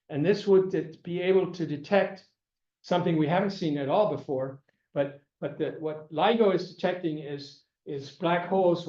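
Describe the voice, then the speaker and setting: An older male lecturer speaks in a slightly high voice, stopping and repeating himself and clearing his throat as his voice gives out. The sound is muffled and lo-fi, carrying the echo of a lecture room. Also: warm tone. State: losing his voice. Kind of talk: classroom lecture. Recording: echoey room, dull lo-fi sound.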